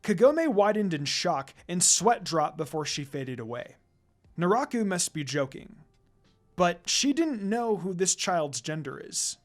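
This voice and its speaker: A man's voice: sits high, sing-song, a little slow, soft background music. A man narrating a story aloud in a dramatic reading style.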